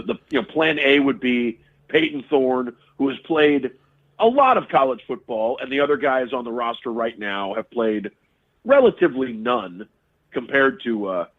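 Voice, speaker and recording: A man analyzing sports in casual chat, heard through a tinny, phone-like line. He rambles and restarts his sentences, leaning on fillers as he thinks aloud. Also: mid-range voice.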